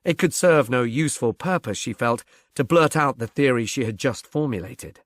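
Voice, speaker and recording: A man narrating a mystery novel in a measured, literary storytelling manner. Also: medium pitch, animated, no echo, clean studio sound. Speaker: audiobook narrator.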